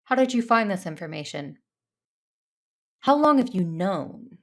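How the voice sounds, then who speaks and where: Woman as a creaky-voiced, reserved Jedi narrator. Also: mid-range voice, big pitch swings, unhurried. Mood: serious, troubled. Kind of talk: first-person audio drama narration and dialogue.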